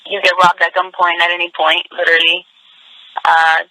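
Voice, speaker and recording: A woman's voice: on the low side, level tone, normal speed, pushed and bright, dry recording, poor audio. A young woman from Venezuela whose first language is Spanish, a wrestler talking frankly about her life in a casual interview and laughing often. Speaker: professional wrestler, guest.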